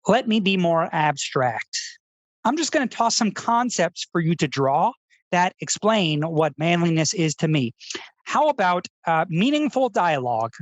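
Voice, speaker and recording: A man playing an earnest, insecure public-radio essayist with a precise, soft, overly sincere delivery in a radio comedy. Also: high-pitched, big pitch swings, unhurried, no room sound. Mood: pleading earnest. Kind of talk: confessional public-radio narration parody.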